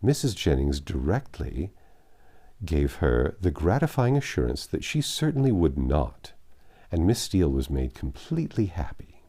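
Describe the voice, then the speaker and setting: A man's voice: rumbling low, big pitch swings, moderate pace, dark tone, slightly rough. A man narrating a classic novel aloud as an audiobook reader.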